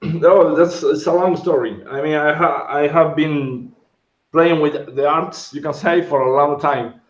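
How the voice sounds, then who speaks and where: A man's voice: a little high, steady tone, measured pace. Man in his thirties from Argentina, remote call. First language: Spanish. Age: 30s. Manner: rambling, searching for English words. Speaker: guest sculptor. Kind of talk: casual interview chat. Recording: dull, roomy sound.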